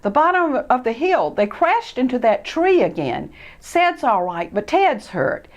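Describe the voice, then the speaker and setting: A woman's voice: on the high side, very animated, a little slow, pitched above the performer's own voice. A woman voicing a child mouse messenger who speaks urgently and alarmed.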